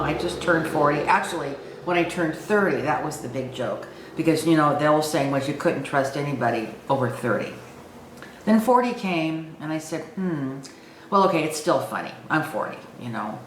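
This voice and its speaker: A woman aged sixty-five talking informally and reflectively to her viewers, thinking aloud with some hesitation. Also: deep voice. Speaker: online video host. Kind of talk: reflective personal talk to camera. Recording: echoey room with faint background noise.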